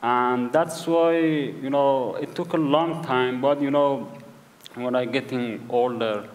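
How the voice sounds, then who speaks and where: A man with a Kurdish accent speaking English as a second language, halting and searching for words as a guest at a live event. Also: medium pitch, steady tone. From Iranian Kurdistan. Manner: hesitant, searching for English words, repeats himself. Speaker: author guest. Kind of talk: conversational interview on stage. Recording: hall reverb at a live event.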